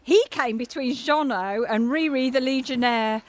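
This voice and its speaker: A woman's voice: sits high, moderate pace, natural voice. A woman playing a bawdy, world-weary, foul-mouthed singer with a volatile temper in an audio drama. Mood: hungover, bawdy. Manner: blunt, swearing, dramatic.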